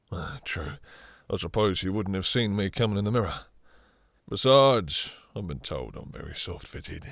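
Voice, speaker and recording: Man playing a slow, low, gravelly vampire master. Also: no room sound, clean studio sound, full character voice. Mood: mocking, commanding. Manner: slow, condescending, purring endearments, commanding. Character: possessive male vampire master, silky, menacing, seductive. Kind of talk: intimate dramatic roleplay audio.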